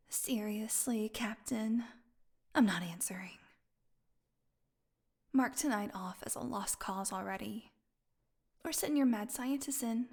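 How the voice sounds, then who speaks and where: A woman's voice: on the high side, slightly rough. A woman playing a snarky, defiant, starved vampire prisoner in an audio roleplay, with a sarcastic, taunting drawl.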